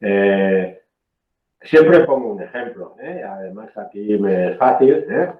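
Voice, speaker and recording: A man's voice: lowish voice, expressive, slow, roomy sound, phone-quality sound. A man from Spain giving a technical training lecture, explaining patiently and often checking in with "¿eh?". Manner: explanatory, frequent "¿eh?" checks, self-corrections.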